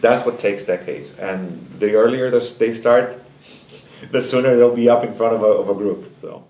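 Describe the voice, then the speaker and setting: A man's voice: on the low side, moderate pace, darkish tone. A middle-aged man from Nicaragua, a music director, answering interview questions in a thoughtful, storytelling way with small restarts. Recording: echoey concert hall.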